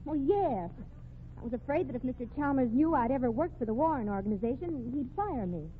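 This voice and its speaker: An actress playing a proud, sharp-tongued secretary in a radio crime drama, indignant and easily flustered. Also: female voice, high voice, animated, a little fast. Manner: crisp retorts, easily flustered. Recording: noisy, tinny old radio recording.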